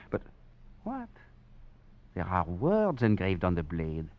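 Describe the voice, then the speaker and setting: A wry, chuckling escaped prisoner. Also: male voice, low-pitched, wildly swooping pitch, takes it slowly, heavily acted. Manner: chuckling, wry, theatrical narration. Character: wry, cunning male escaped prisoner and philosopher. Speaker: narrator. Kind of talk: 1940s radio acting. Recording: dull old radio recording, faint music.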